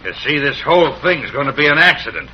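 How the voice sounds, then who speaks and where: An actor playing a ruthless, gloating western villain who barks menacing orders in an old radio drama. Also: male voice, fairly high pitch, very animated, fast, dull audio, a little hiss or hum. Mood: commanding, threatening. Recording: sharp, grainy old radio recording.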